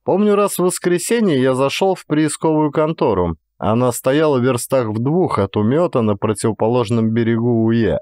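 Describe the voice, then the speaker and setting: A man reading a literary story as an audiobook narrator, in a dramatic, expressive style. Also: medium pitch, no room sound, clean studio sound.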